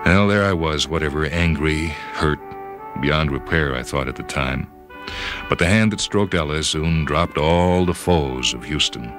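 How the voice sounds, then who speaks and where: A man reading a first-person story dramatically, in a brooding, measured manner. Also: very deep voice. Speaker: story reader. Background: background music.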